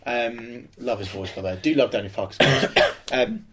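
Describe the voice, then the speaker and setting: A man's voice: medium pitch, normal speed, background noise. A young man co-hosting a football podcast, talking in an emphatic, rambling way with plenty of restarts. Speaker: podcast co-host. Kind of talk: casual football chat.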